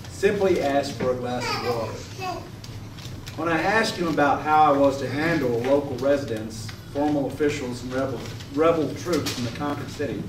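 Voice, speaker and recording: A man acts out a Civil War general giving a formal account in readers theater. He is dignified, firm and measured. The recording is distant and echoey, with clear background noise. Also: medium pitch, lightly acted. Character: dignified, firm Union general and military governor in 1865. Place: echoing room at a stage rehearsal. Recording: echoey, noisy room, distant mic.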